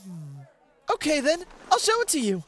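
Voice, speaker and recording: A woman playing a cheerful, excitable teenage boy card player in an audio manga drama. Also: high-pitched, normal speed, heavily acted. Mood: friendly, trusting.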